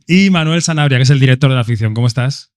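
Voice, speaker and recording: A man's voice: medium pitch, fast. Castilian Spanish man hosting a live event. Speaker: event host and moderator. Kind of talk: live event presentation and panel questions.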